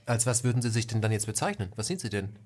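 Fast-talking male interview host. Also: fairly low pitch, lively intonation, darkish tone, faint music behind. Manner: fast, with frequent "mhm" backchannels.

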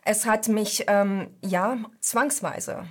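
Guest, thirties, Russian accent, halting speech full of fillers. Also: female voice, mid-range voice. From Donetsk, Ukraine. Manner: hesitant, many ums and restarts. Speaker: guest, freelance journalist. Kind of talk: interview answers.

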